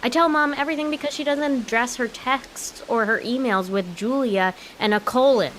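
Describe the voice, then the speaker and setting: Woman acting as frustrated grown daughter, higher voice, young, earnest and wry, emphatic, natural radio-play delivery, background hiss. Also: moderate pace, a bit bright, close to an everyday voice, low-quality recording. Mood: defensive, wry.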